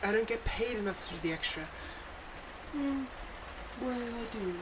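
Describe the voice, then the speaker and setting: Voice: mid-range voice, lively intonation, moderate pace, natural voice. A performer in an audio roleplay playing a theatrical, sarcastic supervillain who teases and grumbles with mock condescension, over a noisy background. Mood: sarcastic, exasperated. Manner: mock-condescending, dramatic, chatty asides. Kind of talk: scripted audio roleplay monologue.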